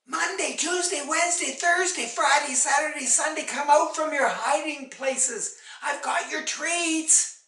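A woman voicing an anxious storybook mother who calls for her children in a singsong that rises to shouts. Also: medium pitch, very animated, slow pace, pitched above the performer's own voice, full character voice. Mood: singsong, eager. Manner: singsong calling, rising to shouts. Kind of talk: dramatic oral storytelling. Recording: echoey room, distant microphone.

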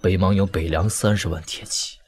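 An actor playing a weary, tender young lord and elder brother in an audio drama, speaking in a measured, reflective way. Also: male voice, low voice, steady tone, a little hiss or hum, close to an everyday voice. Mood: grave. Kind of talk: audio drama dialogue in Mandarin wuxia serial.

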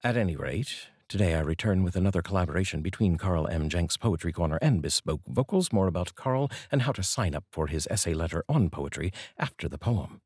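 A middle-aged man with a very low, husky voice. He speaks as a poetry reader, with deliberate phrasing. The sound is close and dry, from a studio microphone. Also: animated, a little mellow, no echo. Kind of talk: poetry reading with casual introduction. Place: small dark indoor studio. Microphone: large studio microphone, close.

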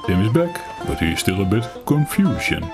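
Low-voiced man chatting over loud music. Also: big pitch swings, fairly quick. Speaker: gaming channel player. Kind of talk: casual co-op gameplay chat. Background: loud game music.

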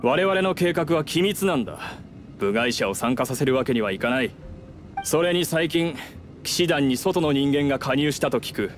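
A man's voice: medium pitch, animated, moderate pace, faint music behind, lightly acted. Man acting a stern, suspicious masked agent. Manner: curt, formal, guarded. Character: stern, suspicious masked foreign agent guarding secret plans. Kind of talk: Japanese game voice acting.

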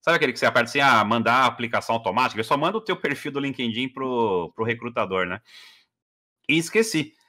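A man's voice: medium pitch, expressive, fast talker, bright, forceful tone. A man, telling his story as a guest on a video call, chatty with many fillers. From Brazil. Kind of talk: casual interview storytelling. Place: living room at home.

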